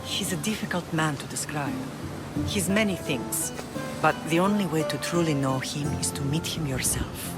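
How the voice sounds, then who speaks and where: A middle-aged Spartan mother who leads her people. She speaks with solemn, measured authority and firm resolve, in dramatic game cutscene style. Clear music plays behind her. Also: sits low, lively intonation. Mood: earnest, guarded.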